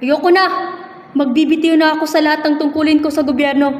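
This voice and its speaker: Woman acting indignant male lawyer, high and echoey. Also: flat delivery, fast talker, darkish tone, natural voice. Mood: indignant, ashamed. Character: indignant male Spanish defense lawyer, principled, loyal. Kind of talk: amateur radio drama acting. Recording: echoey hall, faint background noise.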